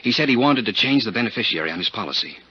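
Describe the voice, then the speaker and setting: An actor playing a flustered, gossipy American insurance broker, earnest and confiding, in a 1950s radio drama. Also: male voice, medium pitch, animated, racing, slightly rough. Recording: muffled 1950s radio recording.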